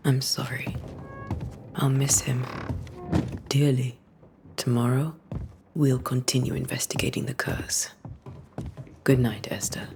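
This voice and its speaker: An actress playing a resolute, stern woman who banishes ghosts in a video game, speaking with measured firmness. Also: extremely low pitch, flat delivery, whispered, over music, heavily acted. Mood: gentle, sorrowful. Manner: measured, firm. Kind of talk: dramatic video game acting.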